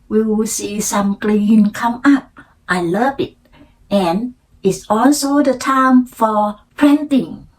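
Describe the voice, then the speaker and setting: An older woman from Thailand with a Thai accent, a native Thai speaker, telling her personal story in halting, deliberate learner English. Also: fairly high pitch, low-quality recording. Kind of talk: unscripted personal storytelling practice to camera.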